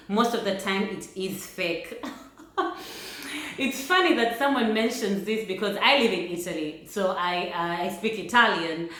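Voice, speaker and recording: A woman from Uganda with a Ugandan accent, talking through points in a casual vlog in a measured, thoughtful way. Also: medium pitch, a bit bright. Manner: thoughtful, measured, laughs lightly between points. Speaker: vlogger. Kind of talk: casual vlog talk to camera. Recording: very echoey room.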